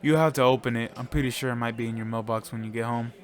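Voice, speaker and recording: A man acts as the hero's laid-back buddy in an audio drama. His voice is mid-pitched and everyday. He banters casually and likes to tease. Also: steady tone, fast, natural voice. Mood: joking, casual. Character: Ed, joking, laid-back male buddy of the hero.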